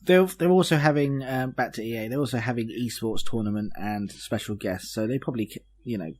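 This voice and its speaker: A man with a slightly husky voice at a middling pitch. He talks as a podcast co-host in casual panel chat, informal and happy to swear. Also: a little fast, a little mellow.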